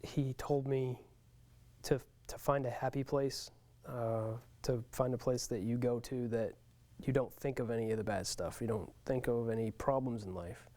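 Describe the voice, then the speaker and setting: Mellow, even-toned man in interview. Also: mid-range voice, moderate pace. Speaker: military veteran being interviewed. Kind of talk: unscripted interview answers.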